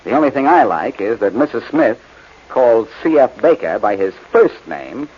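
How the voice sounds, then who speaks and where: An actor playing a sharp, confident amateur detective in a 1940s radio drama, brisk and wry. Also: male voice, mid-range voice, big pitch swings, husky. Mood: assured, explaining. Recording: dull, noisy 1940s radio recording.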